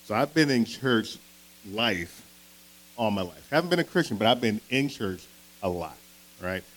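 A fairly low-voiced man preaches a sermon in an earnest, conversational manner. He often checks in with his listeners through quick tag questions. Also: very animated, a bit bright, low-quality recording. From Philadelphia. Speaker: pastor. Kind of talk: sermon with opening prayer.

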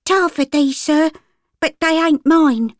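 A woman's voice: sits high, slow, no room sound, clean studio sound, voice pushed up. A woman doing a ragged little street girl. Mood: defiant.

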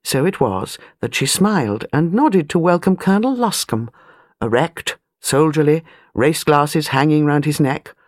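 A woman's voice: sits low, animated, unhurried, no echo, clean studio sound. A British woman reading a novel as an audiobook narrator, precise and wry. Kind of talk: scripted literary narration.

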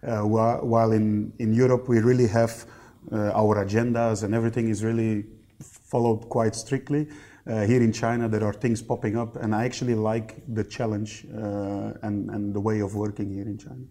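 A man in his thirties with a Belgian accent, answering questions as a podcast guest in a conversational, somewhat halting way. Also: lowish voice, flat delivery, dark tone. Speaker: interview guest, company general manager.

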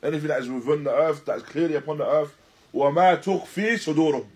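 A man teaching in a religious lecture, deliberate and emphatic, repeating and rephrasing his phrases as he explains a text. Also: on the high side, a little mellow, husky. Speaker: religious teacher giving a lesson. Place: Islamic centre, live stream.

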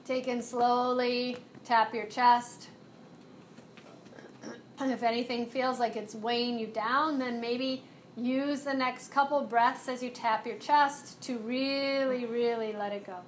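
A woman with a fairly high voice leads a chair yoga class at a very slow, soothing pace, giving gentle cues for each movement and breath. A faint hum of room noise sits behind her in the live classroom. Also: fairly even intonation. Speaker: yoga and mindfulness instructor. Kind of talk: guided chair yoga instruction.

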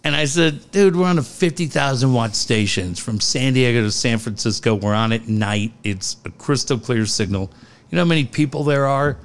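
A middle-aged man co-hosting a casual podcast, telling profane, animated stories. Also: mid-range voice, normal speed. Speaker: podcast co-host.

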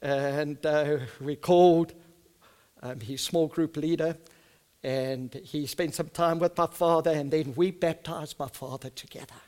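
A middle-aged male pastor whose first language is Afrikaans, with a South African Afrikaans accent, preaching earnestly and moving between hushed, soft stretches and loud, emphatic ones. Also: a little high, fairly even intonation, measured pace. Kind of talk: sermon with personal storytelling.

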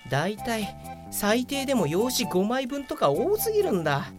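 A woman's voice: a little high, huge pitch swings, moderate pace, loud music behind the voice. A woman playing a whiny, self-important young male office worker in a comedic radio drama, full of grumbles and excuses. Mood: grumbling.

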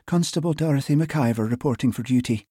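A man voicing a young Scottish policewoman, sweet and teasing, with a soft Hebridean lilt, in an audiobook. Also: mid-range voice, a little fast, husky, dry recording, studio quality, voice pushed up, natural voice. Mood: polite, bright. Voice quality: soft, lilting.

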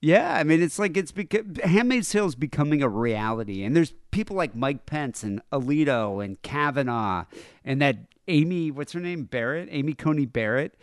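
A young man co-hosting a podcast, chatting sarcastically about politics, swearing and halting with fillers. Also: on the high side, expressive, dry recording, clean studio sound. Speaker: podcast co-host. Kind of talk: casual political chat.

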